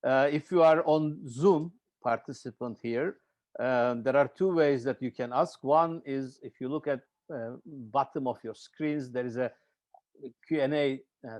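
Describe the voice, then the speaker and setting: A man from Turkey with a Turkish accent, moderating an online panel in a halting, hesitant way with frequent repeated words. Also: mid-range voice, a little mellow, dry recording. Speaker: webinar host and moderator. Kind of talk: informal spoken introduction of a panel. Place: online video webinar.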